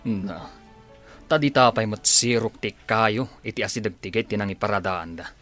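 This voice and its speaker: A man's voice: fairly low pitch, moderate pace, fairly bright tone, soft background music, natural voice. An actor playing an impatient male schemer in a radio drama, brusque and dramatic. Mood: irritated, wary.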